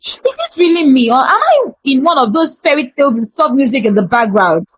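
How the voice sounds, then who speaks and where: A woman's voice: high-pitched, sing-song, fairly quick. A woman storyteller voicing an adoring, starry-eyed young village bride in a folktale. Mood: awed.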